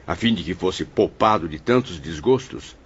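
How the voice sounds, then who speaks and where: A man's voice: low-pitched, expressive, normal speed, breathy edge, highs cut off, heavily acted. A man playing an inquisitive, courteous spirit apprentice in an audio drama, asking formal questions. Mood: curious, concerned.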